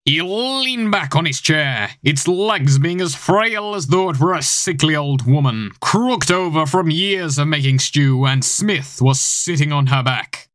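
Bright, theatrical teenage boy narrating. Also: on the high side, no room sound, clean studio sound. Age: teens. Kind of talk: dramatic scripted comic narration.

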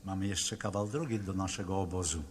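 An actor playing a weary, impatient expedition member in a 1970s Polish radio drama. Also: male voice, deep voice, fairly even intonation, a little fast, lightly acted. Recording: very noisy old radio recording.

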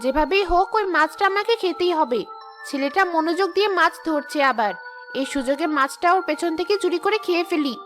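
A greedy, mischievous tree ghost acted by a woman speaks in a piercingly high female voice. She schemes, grumbles and boasts in cartoon fashion over clear background music. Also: brisk, a bit bright. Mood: greedy scheming. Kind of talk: cartoon character acting.

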